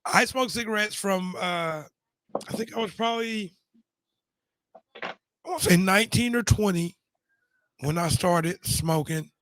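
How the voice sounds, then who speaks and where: A man's voice: sits high, a little slow, pauses often, a bit bright, slight background noise, low-quality recording. A middle-aged man losing his voice, chatting casually as a show host and rambling through his stories with many restarts. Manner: rambling, frequent restarts and fillers. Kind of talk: casual chat.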